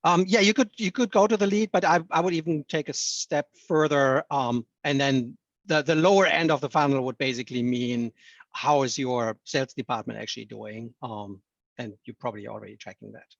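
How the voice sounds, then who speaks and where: A man's voice: medium pitch, brisk, creaky, no echo, lo-fi sound. A man answering questions on a webinar panel, hesitant, with many ums and restarts.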